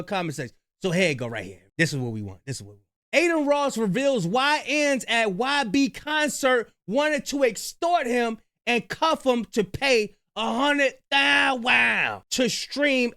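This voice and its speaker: A man doing reaction commentary in a slangy, animated way, talking straight to his viewers. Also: very high-pitched, no echo, clean studio sound.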